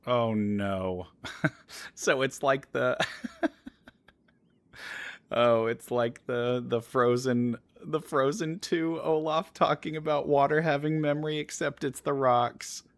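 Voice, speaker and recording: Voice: medium pitch, steady tone, slow pace, no room sound. A let's player giving casual reaction commentary, amused and musing aloud.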